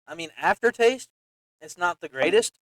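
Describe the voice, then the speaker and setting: A man's voice: sits high, animated, moderate pace, a bit bright, no room sound, low-quality recording. An American man chatting casually on a podcast in colloquial speech, chiming in often. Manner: colloquial, interjecting. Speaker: podcast speaker. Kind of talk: casual chat.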